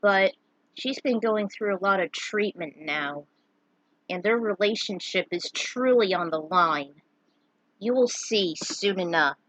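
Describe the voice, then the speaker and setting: A woman's voice: medium pitch, expressive, measured pace, a bit bright, no room sound, poor audio. A woman introducing and narrating her own fan fiction in a theatrical, emphatic way. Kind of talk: dramatic recap and reading of own fan fiction.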